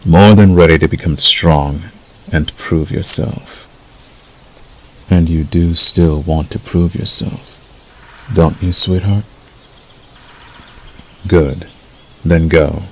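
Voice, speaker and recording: A man voicing a possessive, menacing male werewolf lover in an ASMR roleplay, slow and deliberate, coaxing and threatening with a gravelly growl. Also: sits low, steady tone, low-quality recording. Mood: commanding, possessive. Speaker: audio roleplay voice actor. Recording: faint background noise.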